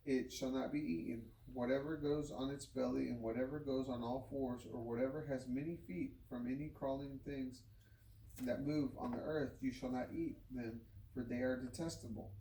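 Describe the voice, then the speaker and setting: A man reading scripture aloud in a measured, solemn way, with occasional stumbles. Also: mid-range voice, steady tone, mellow. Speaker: scripture reader. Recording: roomy, distant microphone, noisy background.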